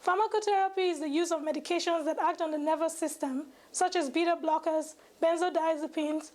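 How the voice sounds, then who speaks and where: A woman's voice: very high-pitched, fairly even intonation, fairly quick, a little mellow. A young woman, a medical student, giving a scripted educational presentation, reading formally and carefully with occasional stumbles. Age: young adult.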